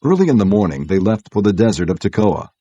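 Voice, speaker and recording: A man narrating an audio Bible as scripted storytelling, in a measured, solemn way. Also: on the low side, big pitch swings, mellow, no echo, muffled recording.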